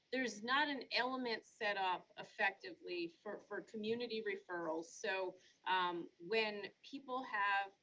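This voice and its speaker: Woman police chief with a medium-pitched voice. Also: a bit bright, slight background noise, low-quality recording. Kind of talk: answering questions in a virtual meeting.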